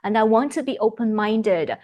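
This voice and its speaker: A woman's voice: fairly high pitch, animated, moderate pace, mellow. Chinese woman hosting an interview. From China. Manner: friendly, encouraging, short prompts. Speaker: interviewer, video host. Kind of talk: casual interview.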